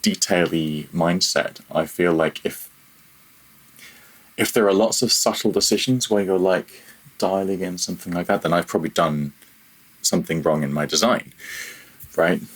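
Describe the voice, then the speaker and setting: A man with a very deep, husky voice talks as a podcast guest. He is reflective and casual, feeling his way through halting phrases with a modest, self-deprecating touch. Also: low-quality recording. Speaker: podcast guest, freelance audio programmer. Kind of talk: reflective casual interview talk.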